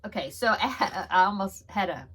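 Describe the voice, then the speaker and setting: A woman's voice: medium pitch, animated, a little slow, fairly bright tone, breathy edge. Woman, slightly stuffy nose, rambling casual crafting chat, giggly, lots of fillers. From Texas. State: stuffy nose on one side. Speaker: crochet podcast host. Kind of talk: casual show-and-tell chat.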